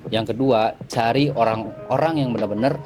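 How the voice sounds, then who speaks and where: A middle-aged man, a forex trading mentor, talking in a relaxed, casual interview and explaining with everyday examples, dropping English words into his talk. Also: mid-range voice, moderate pace. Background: background music.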